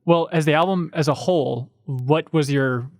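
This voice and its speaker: A man's voice: fairly high pitch, normal speed, no echo, clean studio sound. A man hosting a podcast, asking loose, joking questions with plenty of fillers. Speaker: podcast host. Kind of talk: casual interview questions.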